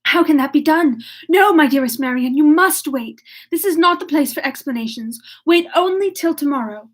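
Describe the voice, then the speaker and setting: Woman playing a sensible high-voiced young sister. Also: normal speed, close to an everyday voice. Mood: firm, soothing. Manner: gentle but firm, reasoning. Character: sensible, caring young sister, firm and composed.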